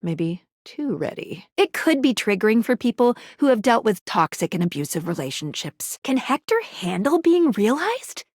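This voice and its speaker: A woman's voice: on the high side, big pitch swings, moderate pace, few pauses, dry recording, clean studio sound. A woman voicing a perky, quirky pair of talking magic glasses, a bubbly, self-deprecating guide in a video game, with cutesy, jokey delivery. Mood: chatty, advising. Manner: bubbly, cutesy, jokey with playful baby-talk and asides. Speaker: game guide and tutorial character. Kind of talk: animated video game character voice acting.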